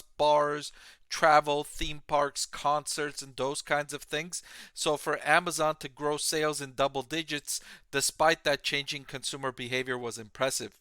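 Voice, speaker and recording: A man presenting explanatory financial commentary as an analyst, speaking in measured, emphatic, deliberate phrases. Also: fairly high pitch, pushed and bright, dry recording. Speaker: financial analyst and video host. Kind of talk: explanatory commentary on an earnings call.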